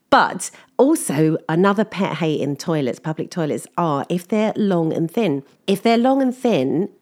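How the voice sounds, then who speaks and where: A woman chatting casually to listeners in a personal update, slightly croaky from lack of sleep, laughing as she tells embarrassing stories. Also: medium pitch. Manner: chatty, self-deprecating, laughs while telling stories. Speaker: vlogger.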